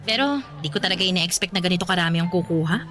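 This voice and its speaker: An actress playing a playful, teasing young single woman in a radio drama, expressive, with a Filipino accent. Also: fairly low pitch, very fast, faint music behind, close to an everyday voice. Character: playful, bantering young single woman, caring older sister.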